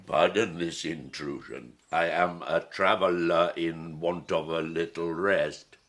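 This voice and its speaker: An actor playing a lonely, eloquent man-made monster in an audiobook drama, speaking formally and haltingly with a gravelly voice. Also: low voice, fairly even intonation, a bit bright, full character voice. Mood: meek, anxious. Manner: slow, halting, formal old-fashioned speech. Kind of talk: dramatised audiobook monologue and dialogue.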